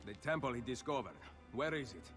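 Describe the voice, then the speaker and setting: A man's voice: medium pitch, animated, brisk, a bit bright, close to an everyday voice. Man playing stern Italian assassin, music behind. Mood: urgent. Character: determined, stern Italian master assassin, male. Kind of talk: video game cutscene acting.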